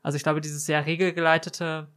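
A man's voice: a little high, level tone, a little fast, fairly bright tone, clean tone. A man chatting as a podcast guest, explaining things in a conversational, tentative way. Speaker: podcast guest, hobby role-player. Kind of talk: casual chat.

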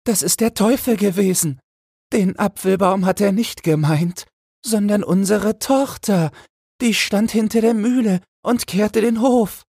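A man's voice: sits high, measured pace, gravelly, a little mellow, breathy edge, no room sound, studio quality, pitched above the performer's own voice, exaggerated voice. A man voicing an anxious miller's wife in a fairy tale, questioning and alarmed. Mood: frightened. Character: anxious miller's wife, high-voiced woman.

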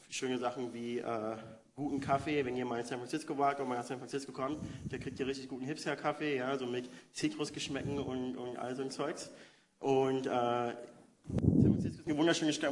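A man, a youth worker telling his story in a chatty, self-deprecating way during a church interview. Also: medium pitch. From Berlin, Germany. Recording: hall reverb, faint noise.

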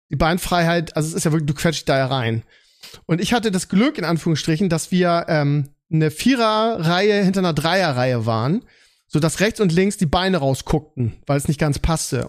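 Higher-voiced man, rambling podcast chat. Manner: rambling, halting word searches, self-correcting. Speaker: podcast host. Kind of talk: casual chat.

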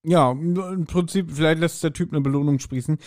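A man's voice: a little high, normal speed, a little mellow. A man co-hosting a podcast, commenting on a story in a colloquial, wry, chatty way. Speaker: podcast co-host. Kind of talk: casual chat commenting and speculating on an audio drama.

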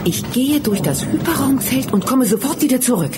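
A woman's voice: high voice, lively intonation, normal speed, noisy background. A woman playing a brave, active young heroine in a children's fantasy audio drama. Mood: determined.

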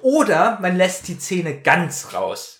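Lively man in a roomy, noisy recording. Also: sits high, takes it slowly. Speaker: podcast host. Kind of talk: casual chat reviewing an audio drama. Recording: roomy echo with clear background noise.

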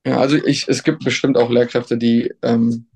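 A young man speaks in an unhurried, thoughtful way, often pausing and restarting as he works out what he means. His voice sits at an ordinary middle pitch for a man, casual and open in an interview setting. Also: flat delivery, darkish tone, husky. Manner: hesitant, many fillers and restarts, thoughtful. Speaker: substitute teacher, guest.

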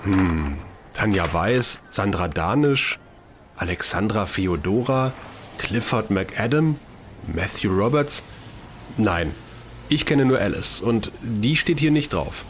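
A man's voice: lowish voice, lively intonation, slow pace, long pauses, a little hiss or hum. An actor playing a blunt, wary man in an amateur science fiction audio drama. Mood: wary, irritated. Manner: suspicious, blunt. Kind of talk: hobby sci-fi audio drama acting.

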